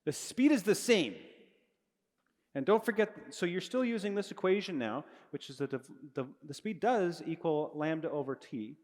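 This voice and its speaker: A man's voice: a little high, animated, normal speed, darkish tone. A middle-aged man giving a live physics lecture to students in a large hall, explaining casually and correcting himself as he goes, speaking into a handheld microphone. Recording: long reverb of a large hall.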